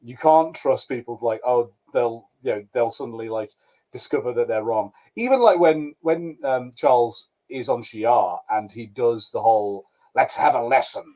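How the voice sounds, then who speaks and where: A man's voice: medium pitch, moderate pace, darkish tone, poor audio. A man co-hosting a podcast in casual chat, restarting his sentences often as he gives opinions. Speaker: podcast co-host.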